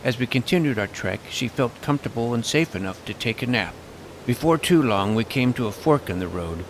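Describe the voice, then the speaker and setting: A man's voice: medium pitch, lively intonation, fairly quick, slight background noise, poor audio. A man narrating a comic fantasy adventure in the first person as its self-important young hero.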